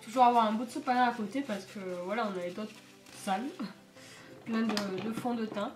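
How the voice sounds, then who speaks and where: Teen girl, congested from a cold, rambling vlog chat, slightly high voice, echoey room, light music under. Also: fairly even intonation, moderate pace. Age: teens. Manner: chatty, rambling with many fillers. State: congested, stuffy nose from a cold. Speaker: beauty vlogger. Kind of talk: casual makeup tutorial chat. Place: home.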